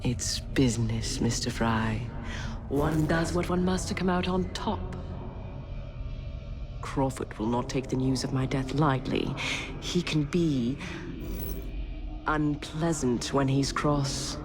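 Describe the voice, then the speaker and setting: Low, unhurried villainess. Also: female voice, music in the background. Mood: cool, regretful. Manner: unhurried, genteel, cool. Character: poised, ruthless Victorian businesswoman, cool and calculating. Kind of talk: dramatic video game acting.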